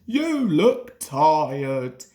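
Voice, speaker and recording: A woman's voice: deep voice, sing-song, very slow, dark tone, heavily acted. A woman voicing a stern, bossy male railway controller in a storybook, giving emphatic orders. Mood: brisk, commanding.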